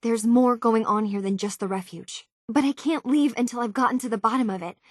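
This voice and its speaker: A woman's voice: a little high, fairly quick, no echo, studio quality, lightly acted. An actress playing an earnest young woman tinkerer searching for her identity in a video game, speaking in a natural, slightly hesitant conversational way. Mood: determined, troubled. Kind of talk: naturalistic game dialogue acting.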